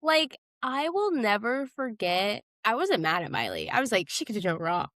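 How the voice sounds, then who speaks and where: A woman's voice: medium pitch, very animated, normal speed, fairly bright tone, no room sound, clean studio sound. A young woman co-hosting a podcast, chatting casually about pop culture in slangy, conversational language. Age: young adult. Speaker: podcast co-host.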